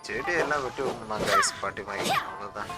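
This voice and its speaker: Male streamer, Tamil accent, rapid speech, casual gameplay chat, loud music behind. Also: on the low side, lively intonation. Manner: informal, mixes in English words. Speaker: gaming live streamer.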